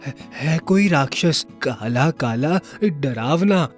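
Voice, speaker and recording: A man playing excitable, wary village boys in a children's folktale, exclaiming and questioning. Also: a little high, takes it slowly, soft background music. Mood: frightened.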